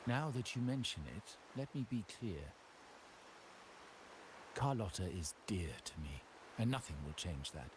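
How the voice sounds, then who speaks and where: A proud, commanding male heir in a game drama. His voice sits very low and moves expressively through formal, measured phrases. A clear hiss lies behind him. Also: sing-song. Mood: firm, proud. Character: stern, proud male heir of a powerful crime family, an uncle. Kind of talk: dramatic video game dialogue.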